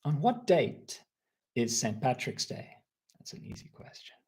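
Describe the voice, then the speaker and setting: A man's voice: medium pitch, very animated, a little mellow. A man from Ireland with an Irish accent, teaching a live online English lesson and reading out viewers' answers. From Republic of Ireland. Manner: slow, clear teacher talk, reading out viewers' comments.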